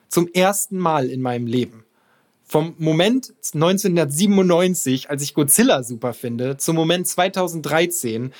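A man in his thirties from Lower Saxony, telling his own story in a candid, self-deprecating way. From Lower Saxony, Germany. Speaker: podcast narrator. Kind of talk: personal storytelling.

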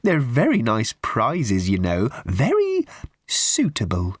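A man voicing a fussy older woman selling raffle tickets, slow, prim and theatrical. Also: mid-range voice, huge pitch swings, breathy edge, no room sound, clean studio sound, heavily acted. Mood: prim sugary.

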